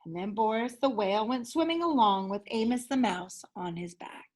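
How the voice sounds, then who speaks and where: A woman, a teacher, narrating a children's picture book aloud in an expressive storytelling style. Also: medium pitch, normal speed.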